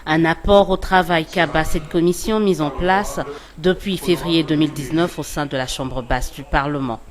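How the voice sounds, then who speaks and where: A woman reading a scripted news report in a steady, formal way. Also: sits low, moderate pace, crowd noise.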